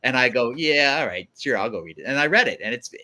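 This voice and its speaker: A man's voice: mid-range voice, animated, fast talker, bright, forceful tone. A man guest-hosting a casual panel chat, talking conversationally and acting out little bits of dialogue. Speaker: guest host.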